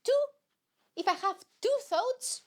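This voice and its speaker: A woman's voice: very high-pitched, animated, slow pace. A young woman from Greece with a Greek accent, hosting a podcast in a rambling, self-mocking comic monologue. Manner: rambling, self-mocking, jumps into silly voices. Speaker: podcast host.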